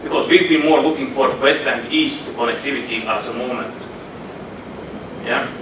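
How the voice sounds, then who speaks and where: A man's voice: medium pitch, moderate pace. A man in the audience at a panel asking a question, hesitant and searching for words, heard from across a conference hall. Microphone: room audio, away from the microphone. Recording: roomy and distant with background noise.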